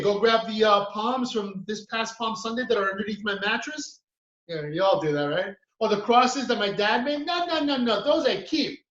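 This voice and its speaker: A man from the United States doing a stand-up comedy routine. He tells his stories with animated energy and acts out other people's voices. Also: extremely high pitch, roomy sound, muffled recording. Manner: animated storytelling, acts out his mother and friend.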